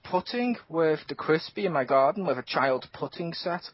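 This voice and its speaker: A man's voice: on the high side, expressive, normal speed, slightly rough. A man co-hosting a casual gaming podcast, telling rambling stories with plenty of swearing. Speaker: podcast co-host. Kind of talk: casual chat. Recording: dull lo-fi podcast audio.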